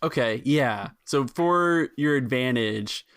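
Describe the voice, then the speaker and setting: A man's voice: medium pitch, lively intonation, takes it slowly, a bit bright, no echo, clean studio sound. A man running a tabletop roleplaying game, casually narrating and giving rulings. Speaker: game master.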